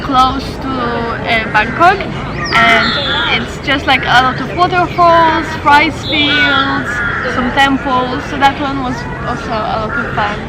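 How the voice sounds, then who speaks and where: A woman's voice: high-pitched, slow. A young woman from Poland, an exchange student, chatting casually with enthusiasm. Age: young adult. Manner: enthusiastic, gushing. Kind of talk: casual chat. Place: outdoors on a busy city street. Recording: loud outdoor street and crowd noise.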